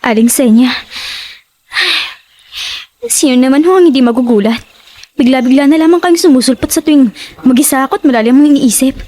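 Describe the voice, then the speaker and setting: A woman's voice: high-pitched, fairly quick, long pauses, warm tone, natural voice. A woman playing a jumpy, defensive young tenant hiding her guilt in a Tagalog horror audio drama. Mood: startled, guarded.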